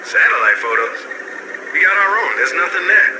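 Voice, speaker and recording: A man's voice: high-pitched, sing-song, normal speed, background noise. An actor playing a wisecracking male tech support partner in a video game, sarcastic and joking. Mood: wry. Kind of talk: video game cutscene acting. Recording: echoey game audio with music.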